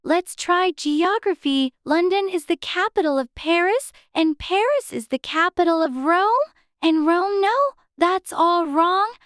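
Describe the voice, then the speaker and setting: A woman's voice: very high voice, lively intonation, unhurried, no echo, clean studio sound. A woman voicing an anxious, chatty little girl in a dramatized storybook, fretfully talking to herself in prim childish exclamations. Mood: muddled, trying. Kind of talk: dramatized audiobook character acting.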